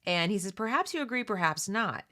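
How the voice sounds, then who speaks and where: A woman's voice: mid-range voice, big pitch swings, brisk, pushed and bright. A woman hosting a read-along, mixing reading aloud with casual, opinionated commentary in an emphatic, conversational way that addresses listeners directly.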